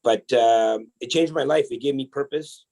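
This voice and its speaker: A man from El Salvador, a podcast guest telling his life story in a casual, energetic way, often restarting his sentences. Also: mid-range voice, moderate pace. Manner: energetic, chatty, many restarts.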